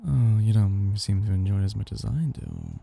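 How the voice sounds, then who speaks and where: A man voicing a possessive, tender vampire noble in an intimate ASMR roleplay, coaxing slowly and dotingly in a husky, gravelly voice. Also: lowish voice, huge pitch swings, exaggerated voice. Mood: hungry, teasing. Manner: slow, coaxing and doting, with soft hums.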